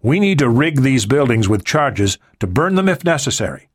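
A man's voice: mid-range voice, big pitch swings, normal speed. A man doing a curt mercenary commander. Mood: commanding. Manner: clipped, commanding orders.

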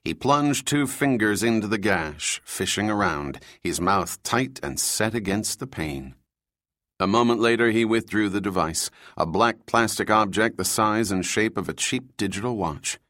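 A male narrator reading a thriller audiobook in a steady, even way. His voice sits low, and the sound is dry and close to the mic, with no room around it. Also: a little slow, dry recording.

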